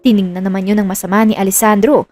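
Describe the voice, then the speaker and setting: A woman's voice: mid-range voice, fairly even intonation, fast, dark tone, dry recording, soft background music. A woman reading a scripted romance story as a dramatic storyteller.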